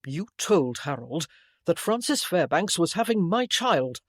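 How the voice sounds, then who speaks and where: A narrator voicing a brooding, stern gentleman who speaks in a curt, commanding, dangerous way, in an audiobook story. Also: mid-range voice, sing-song, a little slow, dry recording, clean studio sound. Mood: cold, stern.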